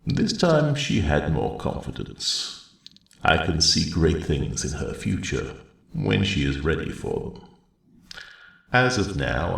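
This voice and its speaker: A man voicing a kindly, courteous old dragon elder in a soft ASMR roleplay, with a gravelly voice and measured, hesitant, formal phrasing. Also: roomy sound, heavily acted. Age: older. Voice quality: very deep, gravelly.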